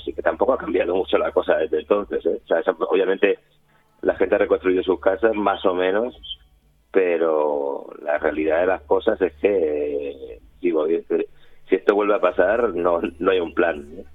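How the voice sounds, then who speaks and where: A man's voice: low voice, fairly even intonation, normal speed, no echo. A man with an accent from Spain answering interview questions over the phone, hesitantly searching for words. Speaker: radio interview guest, community radio member. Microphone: phone call. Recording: tinny phone line with music behind.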